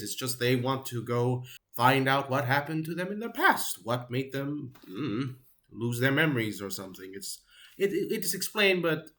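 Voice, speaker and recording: A man's voice: mid-range voice, sharp tone. A man giving a casual, rambling spoken review, often hesitating and trailing off as he searches for words. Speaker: anime reviewer.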